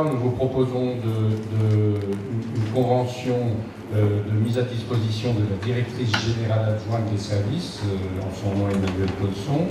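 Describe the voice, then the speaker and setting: Distant echoey man, halting council presenter. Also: on the low side. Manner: halting, procedural. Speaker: deputy mayor presenting a council motion. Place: large indoor council meeting room. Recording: echoey hall from far off, loud noise.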